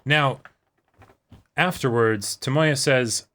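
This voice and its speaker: A man's voice: mid-range voice, takes it slowly, bright, forceful tone. A man co-hosting a reaction show, chatting casually and thinking aloud in loose, rambling sentences. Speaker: co-host of an anime reaction show. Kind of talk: casual chat, discussion.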